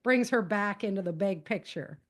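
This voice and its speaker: An American woman talks like an author on a podcast, recounting stories in a loose, conversational style. Her delivery is animated and informal, at a middle pitch for a woman. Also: normal speed. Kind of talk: casual spoken summary of own novels.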